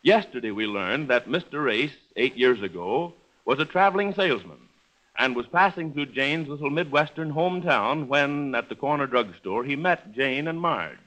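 A man's voice: medium pitch, lively intonation, normal speed, fairly bright tone, rough recording. A man announcing in formal, polished 1930s radio style, reading persuasively from a script. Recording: vintage 1930s radio broadcast recording.

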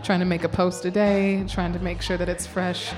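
Bubbly young actress on a radio show, kinda low voice, quiet music playing. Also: female voice, little pitch movement, moderate pace. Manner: bubbly, laughs often. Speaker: actor guest. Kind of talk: casual radio interview. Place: storefront music bar.

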